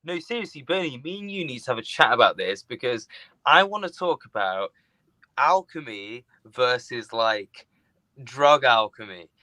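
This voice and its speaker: A man's voice: medium pitch, animated, moderate pace, sharp tone, dry recording, rough recording. Brash young man in his twenties. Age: 20s. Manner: brash, joking, swears. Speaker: guest.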